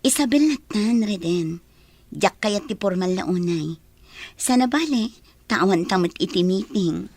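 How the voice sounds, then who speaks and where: A woman's voice: mid-range voice, expressive, measured pace, darkish tone, no room sound. Woman acting courteous Ilocano businesswoman, dry. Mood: polite, friendly. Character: courteous, friendly female business partner. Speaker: radio drama actor. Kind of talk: Ilocano radio drama acting.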